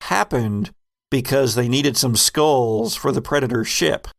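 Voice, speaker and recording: A man's voice: medium pitch, animated, a little slow, gravelly, no room sound, clean studio sound. A man hosting a podcast, chatting casually and explaining things in a rambling, digressive way. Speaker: podcast host and game master. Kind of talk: casual retrospective chat.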